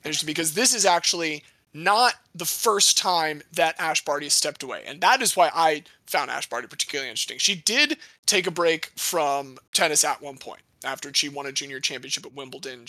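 A young man talks sports as a podcast host, quick to joke and swear. His voice sits high and sounds bright and forward. The recording is dry, with no room sound. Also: dry recording. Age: young adult. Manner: animated, jokey, swears casually. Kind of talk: casual sports chat.

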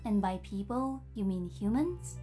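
A woman playing a cheeky, breezy, self-assured AI therapist in an audio drama, with a playful, teasing, brisk manner. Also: medium pitch, lively intonation, mellow, faint music behind, lightly acted. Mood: probing.

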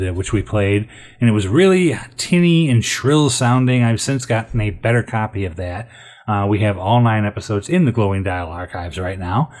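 A man's voice: lowish voice, darkish tone. A man with an American accent casually hosting an old-time radio show.

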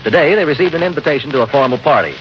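A man announces on a vintage radio show. His mid-range voice moves quickly and with lots of lift through scripted narration. The old broadcast has slight noise. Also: on the high side, expressive, dull audio.

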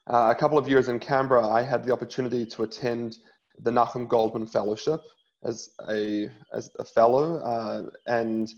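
A man in his thirties from New Zealand speaks as a rabbi in an interview. His middle-pitched voice comes through slightly dull, lo-fi audio. He talks earnestly, with frequent uhs and restarts. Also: darkish tone. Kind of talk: interview guest telling his story.

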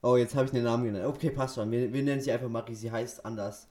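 A young man co-hosting a podcast in casual chat, telling stories haltingly and often correcting himself. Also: mid-range voice, steady tone, mellow. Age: teenager or young adult. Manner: halting, self-correcting storytelling. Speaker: podcast co-host.

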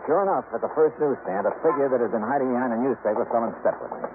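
An actor playing a brisk, wry, confident insurance investigator in a 1950s radio drama, with a punchy conversational delivery. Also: male voice, mid-range voice, background noise, close to an everyday voice. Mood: wry, brisk narrating. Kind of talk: 1950s radio drama acting, first-person detective narration. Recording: narrow-band 1950s radio broadcast recording.

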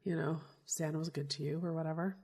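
A woman co-hosting a comic book podcast in casual chat, a little croaky from a cold, chatty and laughing easily. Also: deep voice, fairly even intonation, fast talker, a little mellow. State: getting over a cold, slightly croaky. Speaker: podcast co-host.

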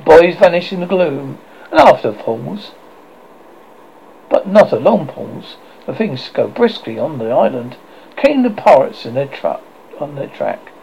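Gravelly, animated male storyteller. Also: unhurried, dull audio, a little hiss or hum. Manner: expressive storytelling with lively swings in pitch and occasional slips. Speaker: audiobook narrator. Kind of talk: reading a classic children's novel aloud.

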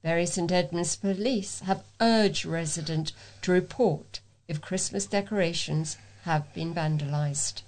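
A woman's voice: fairly low pitch, a little slow, few pauses, darkish tone, rough recording. A woman reading scripted local news items as a volunteer newsreader.

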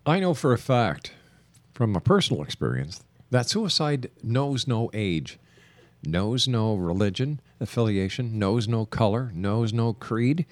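A man with a low voice hosting a radio interview show, talking in an earnest, measured way. He speeds up for station announcements, and the sound is dry and close. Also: expressive, no echo. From Canada. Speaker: radio talk show host.

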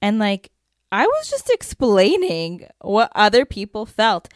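A young woman co-hosting a podcast in lively casual chat, often talking over her partner. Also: medium pitch, a little slow, dry recording, clean studio sound. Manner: animated, talks over co-host. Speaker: podcast co-host.

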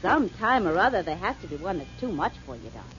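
A woman's voice: on the low side, sing-song, quick pace, faint music behind. An actress playing a playful, affectionate young woman companion in an old radio drama. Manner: bright, teasing. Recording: dull old radio broadcast with hiss.

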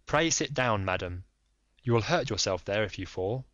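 A man voicing a calm, commanding detective in a measured, insistent way. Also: on the low side, no room sound, highs cut off. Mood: firm. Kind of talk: audiobook reading.

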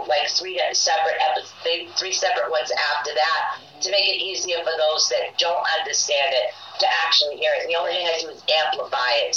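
A woman who is a lead psychic investigator, chatting casually on a radio show and chiming in with quick affirmations. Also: deep voice, bright, forceful tone, distant mic, slight background noise. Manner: brisk, affirming with quick yeps. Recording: muffled roomy sound, off-mic.

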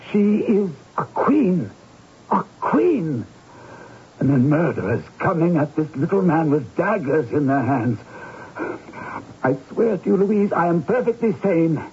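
An actor playing a shaken Victorian writer. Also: male voice, high voice, very animated, measured pace, slightly rough, exaggerated voice. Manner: agitated, pleading, theatrical. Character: troubled male Victorian writer seeing visions. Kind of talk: old radio drama acting. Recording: dull old radio broadcast with music.

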